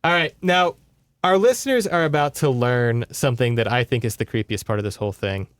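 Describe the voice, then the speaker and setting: Smooth, dry-recorded man chatting casually. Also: mid-range voice, fairly quick, no room sound. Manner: rambling, conversational, sardonic asides. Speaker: podcast co-host. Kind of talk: casual book recap chat.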